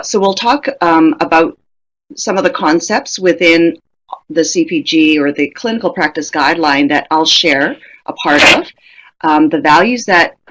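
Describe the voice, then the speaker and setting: Middle-aged woman giving a webinar talk, lowish voice, chatty and thoughtful, asks the audience stuff. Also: fairly bright tone. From Canada. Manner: conversational, reflective, asks the audience questions. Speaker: webinar presenter. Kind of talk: presenting a professional webinar.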